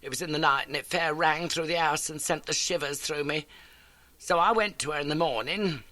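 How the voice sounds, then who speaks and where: A man's voice: fairly high pitch, a little fast, bright, forceful tone, no echo, voice pushed up. A man voicing an elderly, motherly working-class landlady in an audiobook, garrulous, earnest and folksy. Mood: anxious, confiding. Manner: chatty, folksy, dramatic storytelling. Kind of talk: audiobook character acting.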